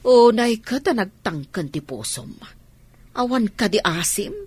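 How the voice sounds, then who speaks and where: A woman's voice: fairly high pitch, sing-song, measured pace, darkish tone, slightly rough, no echo, exaggerated voice. A woman playing a kind, compassionate aunt in a radio drama. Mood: pleading, reproving.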